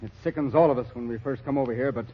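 An actor playing a hardened, blunt army captain working famine relief, grim and weary, in a 1940s radio drama. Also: male voice, medium pitch, very fast, slightly rough, lightly acted. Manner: blunt, grimly weary, callous. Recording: dull old radio recording.

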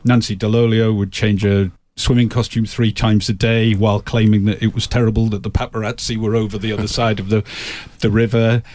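A man recounting an anecdote in an interview, with a wry, amused manner and halting restarts. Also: fairly low pitch, little pitch movement, a little mellow. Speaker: interview guest.

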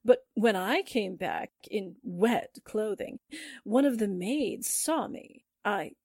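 A woman playing a scheming former film star widow in a 1940s-style radio drama, theatrical and halting in delivery. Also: medium pitch, expressive, a little mellow, slightly rough, no echo, clean studio sound, exaggerated voice. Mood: resigned confession.

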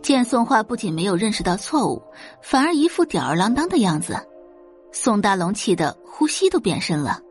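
A woman's voice: medium pitch, very animated, moderate pace. A woman narrating a scripted dramatic novel as an audiobook reader, with a faint music bed behind her.

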